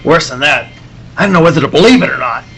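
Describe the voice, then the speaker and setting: A man playing a gruff, blustering newspaper boss who barks orders and stammers when rattled, in an old-time radio serial style. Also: fairly high pitch, expressive, fast, a bit bright, slight background noise, poor audio. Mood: rattled, anxious. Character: gruff, excitable newspaper editor, an agitated boss.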